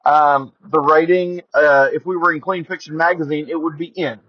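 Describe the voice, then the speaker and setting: Higher-pitched man podcasting casually from a car. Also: fairly even intonation, moderate pace, no room sound, highs cut off. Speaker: podcast host. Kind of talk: casual solo podcast monologue and review. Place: inside a car during rain. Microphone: headset or earphone mic.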